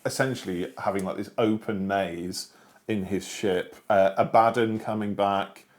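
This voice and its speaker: A man's voice: on the low side, fairly even intonation, measured pace. A man in a casual, informal discussion, talking in a slightly hesitant, hedging way. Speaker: discussion show panelist. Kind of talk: casual chat, book discussion.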